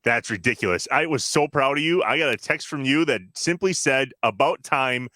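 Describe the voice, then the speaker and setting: Bright, forceful male podcast host. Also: mid-range voice, animated, normal speed, no echo. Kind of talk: casual sports podcast hosting.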